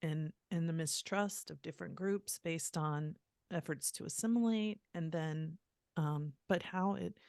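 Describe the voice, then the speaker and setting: Woman as podcast host, low and unhurried, gentle and emotional. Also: creaky, dry recording, studio quality. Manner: gentle, emotional, tearful at times. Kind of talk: casual interview talk.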